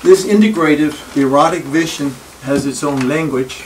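Older Danish-accented man lecturing in a library. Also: a little high. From Denmark. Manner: thoughtful and wry, with pauses and self-deprecating asides. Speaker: speaker giving a library talk. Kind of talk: reflective public lecture. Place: wood-paneled library room. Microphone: far camera. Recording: distant room recording with faint noise.

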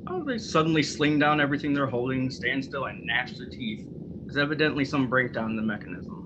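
A man's voice: mid-range voice, expressive, brisk, natural voice. A man acting an offhand, technical-minded robot psychologist in a radio drama. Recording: dull, noisy live drama recording.

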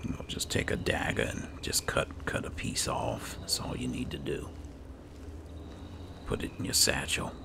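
A man playing an alchemist adventurer and patient mentor in improvised video game roleplay, with a gravelly, breathy low voice and an unhurried, musing manner. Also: steady tone, background noise, heavily acted. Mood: calm, instructive. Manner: unhurried, musing, hesitant asides. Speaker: gaming roleplayer. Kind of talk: improvised in-character roleplay narration over gameplay.

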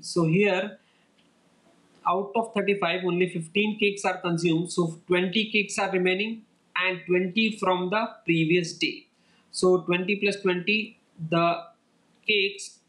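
A man with a slightly raised pitch talks a class through a worked problem on slides. He moves one step at a time and repeats each point in a steady, patient way. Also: rough recording. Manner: steady, repetitive step-by-step explaining. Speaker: lecturer. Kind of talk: slide-based lecture walkthrough. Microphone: voice-over over slides.

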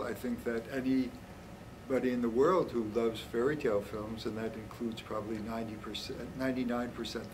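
A man in his seventies giving an informal explanation as a scholar, with halting, deliberate phrasing. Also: medium pitch. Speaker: author and scholar. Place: small indoor meeting room. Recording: noisy room, distant mic.

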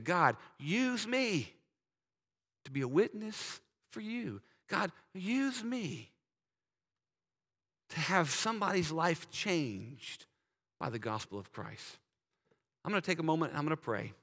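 A man in his thirties, a pastor, earnestly urging his congregation and then praying softly, recorded with a lapel mic in a large church sanctuary. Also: fairly high pitch, sing-song, a little slow. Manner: earnest, urging, drops soft in prayer. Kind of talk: sermon invitation and prayer. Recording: church hall reverb.

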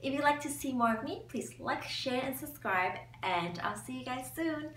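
A woman's voice: sits high, lively intonation, moderate pace, fairly bright tone, recorded from a distance. Cheerful young woman vlogger, echoey room. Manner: cheerful, enthusiastic presenter. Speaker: beauty vlogger. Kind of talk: upbeat scripted vlog narration. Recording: echoey room, mic set back, faint hum.

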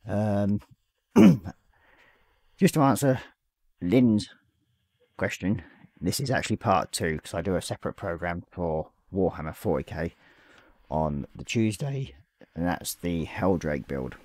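A man in his forties with a slightly croaky voice, casually chatting on a live stream and reading out viewer messages as he paints. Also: deep voice, measured pace, long pauses, no echo, studio quality. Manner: reads chat messages aloud, relaxed and rambling. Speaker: livestream host. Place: small hobby room at home.

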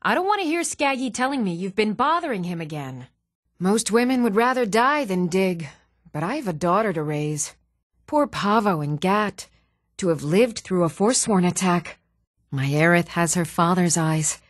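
A woman's voice: on the low side, lively intonation, moderate pace, no echo, clean studio sound. A woman playing a plain-spoken, hardworking and motherly townswoman in a fantasy video game. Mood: conversational, firm. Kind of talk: scripted fantasy game dialogue.

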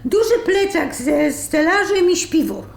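A woman playing a sharp, sarcastic, fed-up live-in partner in a radio drama, brisk and sardonic. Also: very high voice, expressive, gravelly, full character voice. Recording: roomy sound with faint noise.

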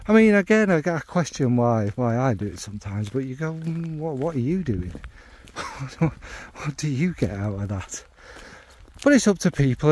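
A man chatting casually about his fragrance hobby while out walking the dog, rambling and wry as he questions himself. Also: mid-range voice. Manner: thinking out loud, wry and self-questioning. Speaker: fragrance video maker. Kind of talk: rambling walk-and-talk chat. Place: outdoors on a dog walk in the rain.

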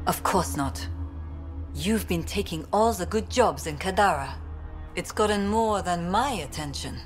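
An actress playing a mocking, bitter smuggler taunting a rival in a space video game. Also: female voice, fairly low pitch, lively intonation, unhurried. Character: scornful, taunting female smuggler, a jilted rival. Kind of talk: video game dialogue acting. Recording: faint music behind the voice.

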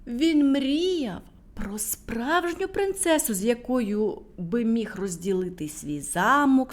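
Young woman narrating a kids' fairy tale, unhurried and sing-song. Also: a little high. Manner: warm, playful, whispering at moments. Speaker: storyteller for children.

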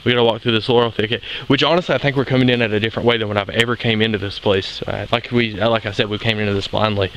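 A man's voice: lowish voice, racing, few pauses, a little hiss or hum, rough recording. Young man narrating a forest hike. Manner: relaxed, explains as he walks. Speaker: outdoor channel host. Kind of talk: casual hiking narration. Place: outdoors in a forest.

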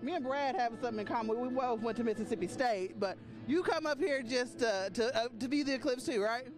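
A man's voice: very high voice, brisk, over music. A man, a TV meteorologist, chatting in a friendly way as he interviews guests outdoors at a festival with a handheld mic. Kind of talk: live TV interview.

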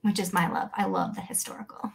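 Friendly woman, medium-pitched interviewer. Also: level tone, fast, vocal fry. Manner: friendly, attentive, polite. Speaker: podcast host, interviewer. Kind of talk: conversational podcast interviewing. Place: living room at home, indoors, video call.

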